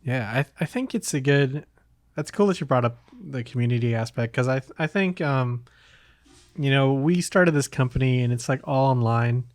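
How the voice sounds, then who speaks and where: A man's voice: medium pitch, animated, normal speed, no echo. A man hosting a casual podcast, talking in a hesitant way as if thinking aloud. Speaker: podcast host.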